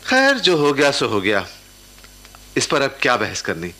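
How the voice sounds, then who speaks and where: A man's voice: medium pitch, huge pitch swings, unhurried, sharp tone, heavily acted. A man playing a kindly but exasperated middle-aged uncle and husband in a radio play. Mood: firm, reproachful. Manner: reasoning, protective, mildly exasperated. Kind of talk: Hindi radio play acting.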